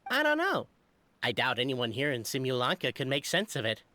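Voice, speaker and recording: A woman playing a grandiose, excitable scholar in a video game, wordy and theatrical. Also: rumbling low, fairly quick, dry recording, heavily acted. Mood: lecturing. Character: scholarly, excitable, grandiose female clan researcher.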